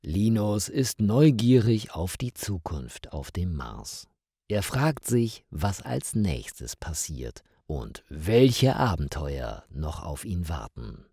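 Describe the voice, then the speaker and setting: A man reading a mystery story for language learners in a deliberate, carefully phrased scripted narration. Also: deep voice, very animated, dark tone, husky, dry recording, clean studio sound.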